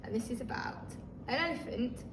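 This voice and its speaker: Woman, children's storybook reader, very lively intonation, expressive teacher manner, echoey recording away from the mic. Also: fairly high pitch, normal speed, vocal fry. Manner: expressive, talking listeners through the pictures. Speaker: teacher reading aloud to children. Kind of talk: animated children's storybook reading. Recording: roomy, distant microphone, faint noise.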